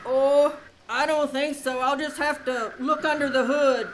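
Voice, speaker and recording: A woman's voice: high-pitched, steady tone, a little slow. A woman playing a folksy, slangy, easygoing young male bus driver in an old-time radio comedy. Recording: very noisy live theater recording.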